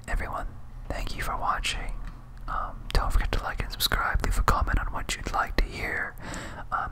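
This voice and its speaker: Someone whispering casual, muttered ASMR gameplay commentary as a video game streamer. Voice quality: whispered, unvoiced.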